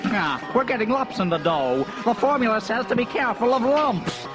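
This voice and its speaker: A scheming little troll villain, pitched high and swinging widely as he bosses his underling. He is fussy and exasperated, straight out of a children's cartoon story, with loud music underneath. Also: sing-song, normal speed. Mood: fussy, impatient. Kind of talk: children's story cassette voice acting.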